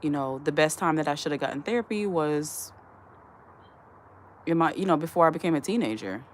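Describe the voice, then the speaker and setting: A woman with a low voice hosts a casual livestream, reading viewer comments aloud and responding to them one by one. Her tone turns earnest when she gives advice. A light hiss of background noise runs under the recording. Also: animated, a little fast. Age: adult. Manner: earnest, advising tone. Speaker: livestream host. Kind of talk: casual live-stream chat.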